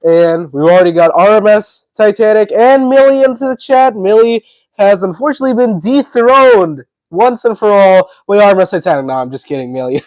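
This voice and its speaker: Male streamer, sick voice: congested and hoarse, chatty and rambling, dry close sound. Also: high-pitched, a little mellow, no room sound, poor audio. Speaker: livestream host. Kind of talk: casual livestream chat.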